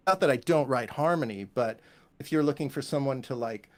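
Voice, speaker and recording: A man's voice: medium pitch, a little fast, a little mellow. Enthusiastic, rambling man chatting about music. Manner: enthusiastic, rambling, punctuated with "you know" and "right?". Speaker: composer, interview guest. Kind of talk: casual interview conversation about music.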